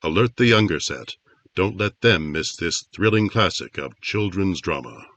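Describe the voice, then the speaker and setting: A man's voice: sits low, lively intonation, moderate pace, a bit bright, no echo. A man playing a formal 1950s network radio announcer, reading promotional copy and credits theatrically. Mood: grand, promotional.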